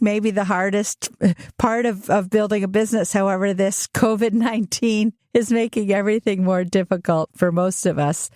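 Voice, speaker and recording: A woman's voice: medium pitch, darkish tone, no echo, studio quality. A woman hosting a business podcast, giving the intro and asking her guest questions in an encouraging way. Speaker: podcast host.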